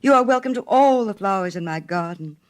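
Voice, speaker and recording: Woman acting little man, humble, guileless, eager to please, animated intonation, storybook character. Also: medium pitch, a little fast, pitched above the performer's own voice, close to an everyday voice. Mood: eager, devoted. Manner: earnest, guileless, deferential. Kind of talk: dramatized storybook reading.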